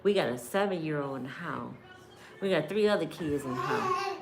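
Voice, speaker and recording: An American woman telling a personal story to viewers in a blunt, expressive way, acting out dialogue as she goes. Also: low voice, normal speed, heavy background noise. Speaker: storytime vlogger.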